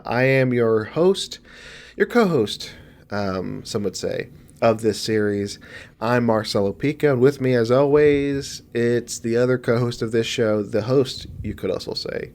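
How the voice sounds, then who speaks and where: Sniffly, rambling male podcast co-host. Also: medium pitch. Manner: rambling, self-correcting, jokey. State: sniffly from allergies. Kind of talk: casual film podcast chat.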